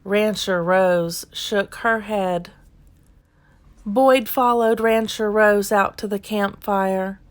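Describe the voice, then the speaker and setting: A woman reading a children's storybook aloud in an expressive, deliberate storytelling manner. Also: medium pitch. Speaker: children's book reader. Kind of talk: expressive scripted storybook narration.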